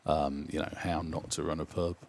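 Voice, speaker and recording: A man from the Nottingham area, a brewery owner chatting in an interview and filling pauses with ums and uhs. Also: very deep voice, level tone, a little mellow. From Nottingham area, England. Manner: hesitant, many ums and uhs. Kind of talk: casual interview chat.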